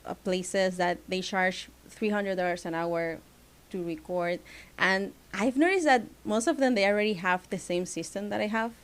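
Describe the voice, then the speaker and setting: A woman from Venezuela speaks English with a Venezuelan Spanish accent, her voice smooth and clean at an ordinary mid pitch. She explains things in a relaxed, rambling way, full of "like" fillers. She sounds close to a studio podcast mic in a small studio. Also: moderate pace. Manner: relaxed, many "like" fillers, plain non-native phrasing. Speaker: studio founder, guest. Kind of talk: casual interview chat.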